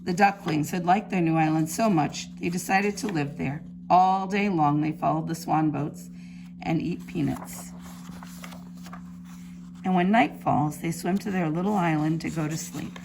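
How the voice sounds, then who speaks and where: A woman reading a children's storybook aloud in an expressive, emphatic preschool-teacher style. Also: low-pitched, a little slow, gravelly, background noise.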